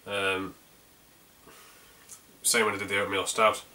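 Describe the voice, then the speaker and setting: A man's voice: lowish voice, flat delivery, slow pace, pushed and bright. A man with a North Yorkshire accent chatting casually through a beer review, rambling a little with plenty of ums and restarts. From North Yorkshire, England.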